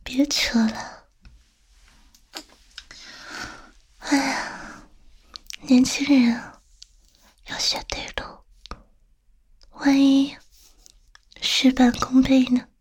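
A woman's voice: sits high, flat delivery, heavily acted. A woman playing a teasing, coaxing female boss flirting with a younger employee in an intimate, whispered audio roleplay. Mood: teasing persuasion. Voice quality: breathy, whispery. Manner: slow, coaxing, tender, teasing.

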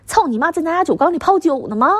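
A woman playing a furious middle-aged wife and mother with a Northeastern Chinese accent and a husky voice, berating her husband in coarse, sarcastic language in a dramatic monologue. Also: high-pitched, animated, fast, dry recording. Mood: outraged, incredulous. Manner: scolding, sarcastic, coarse swearing. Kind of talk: dramatic one-sided argument monologue.

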